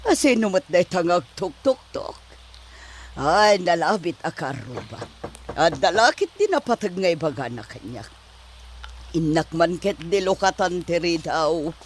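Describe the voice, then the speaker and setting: A woman's voice: on the low side, lively intonation, unhurried, a little hiss or hum. A woman playing an easily flustered neighbour in a comedy audio drama, with music behind her voice. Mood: relaxed, chatty.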